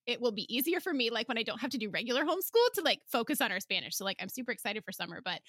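A woman's voice: medium pitch, pushed and bright, dry recording, clean studio sound. A woman hosting a podcast interview in a casual, informal way, often checking in with "right?". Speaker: podcast host.

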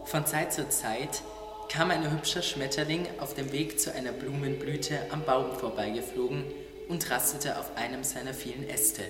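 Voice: mid-range voice, fairly even intonation, moderate pace. A narrator reading a scripted storybook tale for an audio drama. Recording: echoey, long reverb, under loud music. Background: loud music.

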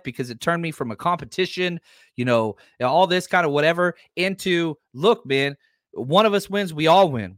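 A man in his forties with a high, lively voice that swings up and down, hosting a sports podcast. He speaks emphatically and drives his points home by repeating himself. The sound is dry and close. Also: dry recording. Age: 40s. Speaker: podcast host.

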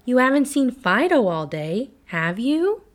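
Woman as a storybook rag doll, girlish, raised pitch, earnest, caring, worried but brave. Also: sing-song, unhurried. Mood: worried, sad. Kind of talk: children's storybook reading.

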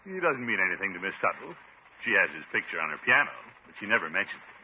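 Pompous blustery middle-aged uncle, low swoopy voice, old tinny radio comedy, noisy. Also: male voice, sing-song, rapid-fire. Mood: defensive. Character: pompous, flirtatious middle-aged bachelor uncle, eager suitor. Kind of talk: 1950s radio sitcom acting.

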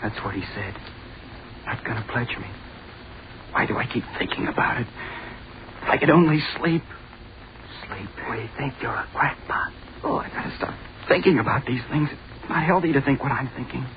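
An actor playing an earnest, naive and insecure young student, speaking hesitantly in a 1930s radio drama. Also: male voice, mid-range voice, animated, close to an everyday voice. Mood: anguished, brooding. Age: young adult. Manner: hesitant, halting, stammering. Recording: dull, noisy 1930s radio recording.

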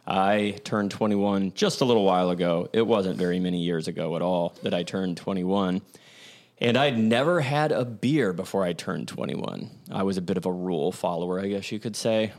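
A young man hosting his own show, speaking in a deep, low register. He rambles through old memories, stopping to correct himself and filling gaps with "like" and "um.". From Ohio, USA. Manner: rambling, self-correcting, lots of "like" and "um". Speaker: podcast host. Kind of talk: casual storytelling monologue.

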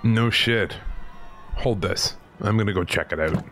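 A man playing a tough, foul-mouthed police officer in an audio drama, urgent, gruff and worried. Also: lowish voice, a little slow, loud music behind the voice. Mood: gruff alarm. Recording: noisy city street with busy crowd. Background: street ambience and crowd.

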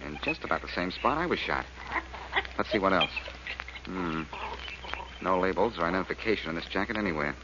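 An actor playing a brash, quick-witted male amateur sleuth in a 1940s American radio detective drama, cocky and commanding. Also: low voice, animated. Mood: intent, wry. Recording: muffled, noisy old radio recording.

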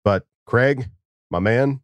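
A man's voice: medium pitch, slow, no room sound, lo-fi sound. A man hosting a casual sports podcast, getting over a head cold and laughing often. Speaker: podcast host.

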